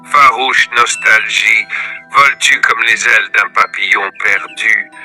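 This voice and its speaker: A man reciting scripted poetry in a measured, declamatory manner. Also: lowish voice, pushed and bright. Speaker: poetry reader.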